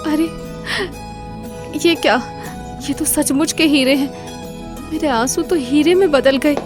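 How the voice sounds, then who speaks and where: A woman voicing a devout, meek young village wife in an animated Hindi moral story, pleading and dutiful. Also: sits high, measured pace, music in the background. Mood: amazed.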